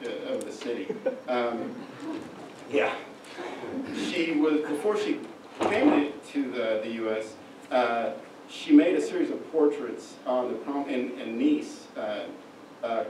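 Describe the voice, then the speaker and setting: Oklahoma man giving a halting slide talk, mic far off in a roomy hall. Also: fairly low pitch. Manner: halting, many fillers and restarts. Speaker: lecturer. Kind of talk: slide lecture. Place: darkened lecture hall. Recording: echoey room from far off, noisy.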